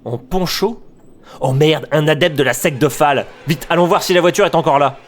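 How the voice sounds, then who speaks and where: Male actor as Johnny type: puzzled, wry road-tripper, slightly high voice, audio comedy. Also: normal speed, a little hiss or hum, natural voice. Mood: exasperated. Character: Johnny, bewildered, mildly exasperated man on a road trip. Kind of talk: comedic amateur audio drama in French.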